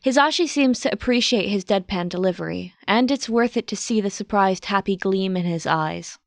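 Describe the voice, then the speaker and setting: A woman's voice: mid-range voice, normal speed, vocal fry, dry recording. A woman reading a story aloud as scripted narration. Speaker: podfic narrator.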